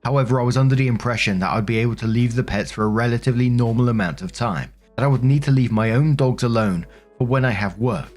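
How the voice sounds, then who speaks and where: A man's voice: mid-range voice, fast, a little mellow, faint music behind. A British man reading out forum stories as a host, conversational and speaking directly to his listeners. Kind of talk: narrating forum stories with casual commentary.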